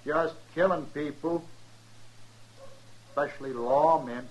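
An actor playing a bitter, menacing gunslinger in a 1950s radio western, with an American accent and folksy speech. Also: male voice, a little high, slow pace, darkish tone. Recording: noisy 1950s radio recording.

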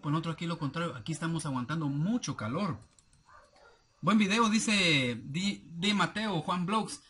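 A man in his thirties with a Guatemalan accent and a husky voice, chatting casually as a vlogger and reading out comments with familiar, rambling asides. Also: a little high, fairly bright tone. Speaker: YouTube vlogger. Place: small cluttered room indoors at home.

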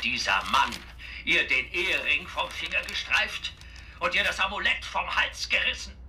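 A man's voice: high voice, very animated, normal speed, a little hiss or hum, heavily acted. An actor playing a middle-aged, bitter father grieving his murdered daughter, speaking with anguish in a radio drama. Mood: anguished anger. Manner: forceful, anguished. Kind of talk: radio drama, emotional TV interview statement.

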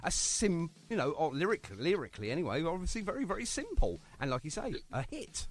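A talkative man hosting a radio show, his voice sitting a bit high and swinging up and down a lot. He jokes, pokes fun at himself and keeps the chat moving. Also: very animated, brisk. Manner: chatty, jokey, self-mocking. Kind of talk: casual radio interview.

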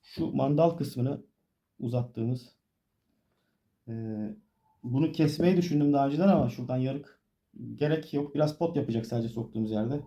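A man's voice: mid-range voice, normal speed, pauses often, dark tone. A man giving a casual hands-on tutorial, explaining informally step by step as he works. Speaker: DIY tutorial presenter.

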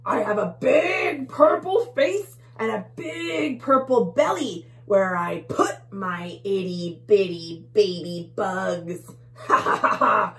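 A woman voicing a menacing bug monster who growls and speaks slowly and loudly. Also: mid-range voice, animated. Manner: growly, slow and loud.

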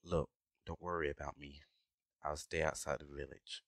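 A man voicing a gruff, protective dragon adventurer in an audio roleplay, talking urgently and with exasperation to his companion. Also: very deep voice, steady tone, moderate pace, fairly bright tone, dry recording, clean studio sound. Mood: concerned, protective. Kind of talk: scripted ASMR audio roleplay acting.

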